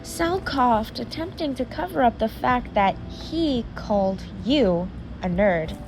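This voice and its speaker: A woman's voice: on the high side, expressive, takes it slowly, background noise. A woman reading a fan fiction story aloud, sometimes stumbling and correcting herself. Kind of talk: scripted story narration.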